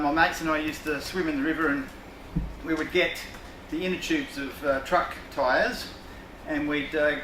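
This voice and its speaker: An Australian man telling a relaxed personal anecdote to a group, with lots of ums and uhs. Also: a little high. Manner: relaxed, with many fillers. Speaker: workshop participant. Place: room with a group of people. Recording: echoey room with noise.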